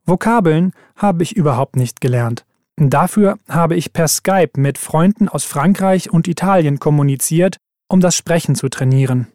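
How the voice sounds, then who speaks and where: A man reading scripted exam texts aloud in an even, careful way. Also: on the high side, normal speed, no room sound, studio quality. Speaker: exam recording reader.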